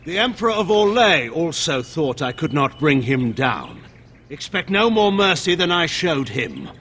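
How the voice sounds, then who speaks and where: A man's voice: fairly high pitch, sing-song, a little slow, a bit bright, slight background noise. A man playing a scornful, imperious general and regent in a fantasy game, speaking in formal oratory. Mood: defiant. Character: stern, commanding male regent and general, scornful. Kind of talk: dramatic fantasy game acting.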